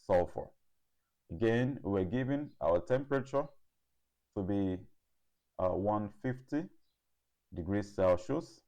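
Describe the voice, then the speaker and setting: A man giving a step-by-step lesson as a tutor, working deliberately through problems and repeating figures as he goes. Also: lowish voice, mellow. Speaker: chemistry tutor.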